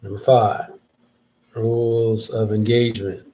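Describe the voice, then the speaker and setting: A man's voice: fairly low pitch, level tone, unhurried, warm tone, low-quality recording. A man hosting his own show, giving a casual solo update as he thinks aloud, rambling with restarts. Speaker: podcast host and rap artist.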